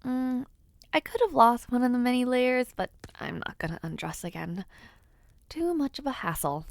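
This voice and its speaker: A woman's voice: fairly high pitch, animated, moderate pace, gravelly, no room sound. A woman voicing a young noblewoman in an audio roleplay, playful and teasing, sometimes flustered while giving compliments. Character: teasing young noblewoman before her debut. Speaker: voice actor.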